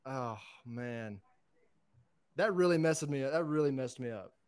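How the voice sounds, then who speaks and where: A man talking informally and conversationally as a podcast panelist, pitching his picks in a casual, enthusiastic pop-culture chat. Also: animated, unhurried, a little mellow, smooth, no echo, clean studio sound.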